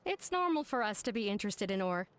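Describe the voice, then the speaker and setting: A woman's voice: medium pitch, sing-song, fast, close to an everyday voice. A woman voicing a friendly, helpful young woman in a video game, polite in manner. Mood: helpful, upbeat.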